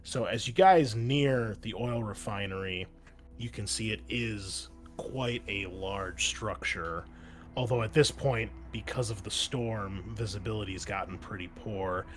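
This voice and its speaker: A man's voice: lowish voice, measured pace, faint music behind. A man narrating as game master of a tabletop role-playing game, rambling a bit with fillers as he describes the scene. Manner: loose, rambling with many fillers.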